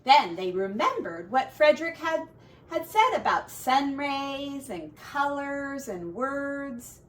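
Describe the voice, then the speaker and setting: A woman teacher reading a storybook aloud to children with expressive, deliberate phrasing and casual asides. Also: high-pitched, distant mic.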